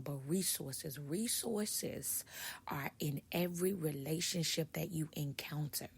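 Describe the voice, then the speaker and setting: A woman who writes and speaks on leadership, giving a casual motivational talk to her viewers in a hoarse voice, stressing her points by repeating them. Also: sits low, a little mellow, a little hiss or hum. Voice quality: raspy, husky. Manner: emphatic, repeats key points.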